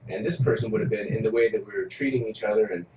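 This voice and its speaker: Low-voiced man heard from a distance. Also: fast. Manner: relaxed, storytelling, frequent hums of agreement. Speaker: interviewer. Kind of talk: casual conversation. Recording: distant, echoey, muffled, noisy.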